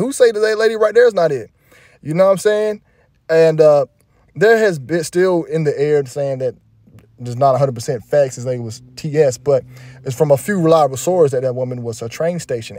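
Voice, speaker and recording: A man's voice: a little high, darkish tone, dry recording, studio quality. A man giving casual, indignant reaction commentary over music videos, rambling and emphatic.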